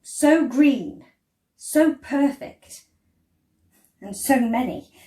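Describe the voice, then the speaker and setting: A woman reads a children's story aloud expressively, with deliberate phrasing and dramatic pauses. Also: high voice, expressive, warm tone. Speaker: storyteller reading a picture book. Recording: roomy, echoey indoor sound.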